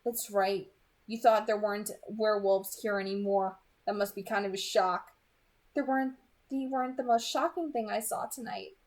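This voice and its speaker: A woman's voice: mid-range voice, steady tone, normal speed, natural voice. A woman reading aloud the part of a tender, devoted young female vampire, with an affectionate, emphatic manner. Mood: frank, amazed. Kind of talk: amateur audiobook reading.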